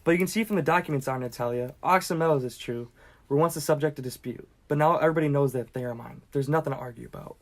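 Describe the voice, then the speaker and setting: Stage comedy role, played by a man: jittery, self-important landowner, medium-pitched, formal and fussy, stammers when worked up. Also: fast talker, lightly acted. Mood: insistent. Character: nervous, touchy hypochondriac landowner, a male suitor prone to outrage.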